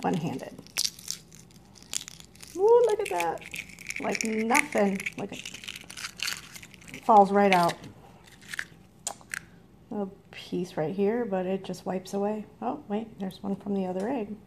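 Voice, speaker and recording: A woman's voice: medium pitch, expressive, takes it slowly, vocal fry, long pauses, a little mellow, slight background noise. A woman chatting casually as she demonstrates cooking, rambling and correcting herself, filmed on a phone in her home kitchen. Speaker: farm vlogger. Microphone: phone held in hand.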